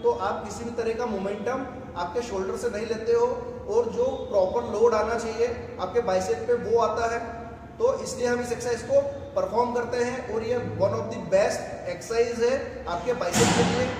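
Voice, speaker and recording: A man's voice: very high-pitched, darkish tone. A man in his thirties, a fitness trainer, talking viewers through bicep exercises step by step while he demonstrates them in a large indoor gym. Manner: instructive, step-by-step, mixes English gym terms. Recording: echoey gym hall, noisy, mic at a distance.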